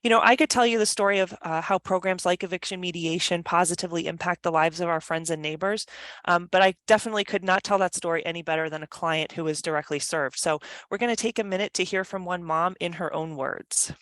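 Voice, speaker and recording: A woman's voice: on the low side, fast, a bit bright, dry recording. A woman presenting at a virtual meeting for a nonprofit, speaking earnestly with many ums and uhs. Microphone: video call.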